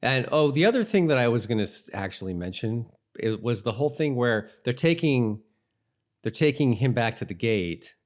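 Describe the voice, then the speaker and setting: A man's voice: mid-range voice, sing-song, normal speed. A man co-hosting a rewatch podcast in casual, conversational talk. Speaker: podcast co-host.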